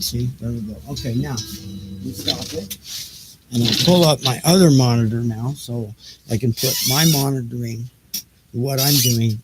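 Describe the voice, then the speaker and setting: Very noisy live recording with clicks, man, mid-pitched, casual, halting, distracted muttering, live-stream setup chatter. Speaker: audiobook reader and live streamer. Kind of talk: casual rambling tech chat while setting up a live stream.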